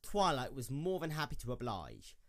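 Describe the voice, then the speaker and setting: A man's voice: medium pitch, wildly swooping pitch, normal speed. A man narrating a scripted dramatic story as the narrator of an audio drama.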